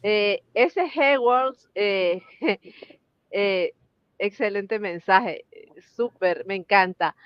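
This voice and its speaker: A woman's voice: medium pitch, extremely slow, clean tone, no echo, muffled recording. A woman hosting an online conversation, asking questions in a chatty, enthusiastic way. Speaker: host. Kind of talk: interview questions.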